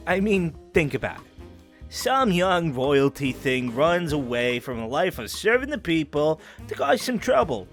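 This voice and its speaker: Man acting a cheeky, animated higher-voiced prison inmate. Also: measured pace, faint music behind. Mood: curious, scheming. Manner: playful, colloquial, teasing. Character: cheeky, teasing male prison inmate, a friendly crook. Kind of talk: audio drama character acting.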